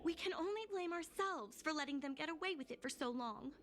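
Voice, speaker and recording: An actress playing an earnest, worried young female guild secretary in a video game, speaking in a polite, measured, articulate way. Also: high-pitched, expressive, background noise. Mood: grave. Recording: music playing behind the voice.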